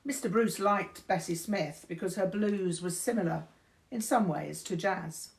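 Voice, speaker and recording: An older woman reading a memoir aloud in a measured, deliberate storytelling manner, indoors. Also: fairly low pitch. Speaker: reader of a memoir. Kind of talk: audiobook-style narration of a memoir. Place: indoors, small room.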